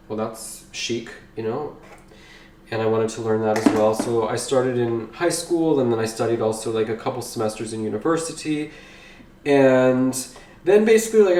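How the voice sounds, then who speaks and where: A man chatting casually while baking at home, rambling and easily excited. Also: mid-range voice, a little hiss or hum. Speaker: vlogger. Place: home kitchen. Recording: echoey kitchen, slightly distant mic.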